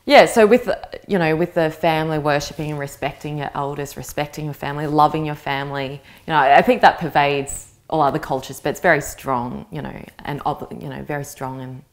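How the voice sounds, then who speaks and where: A woman with a notably low voice, in her thirties, talks through her answers in an interview. Her first language is Vietnamese, and she speaks in a reflective way, pausing as she looks for the right words. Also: steady tone. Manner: thoughtful, searching for words. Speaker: interviewee, actress. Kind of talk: reflective personal interview talk.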